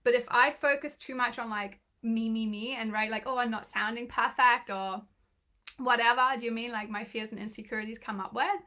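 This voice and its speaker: A woman coaching in a live online training, talking in a chatty, encouraging way with lots of fillers and restarts, her voice a little croaky. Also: on the high side, steady tone, fairly quick, bright, forceful tone. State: slightly croaky voice. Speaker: business coach.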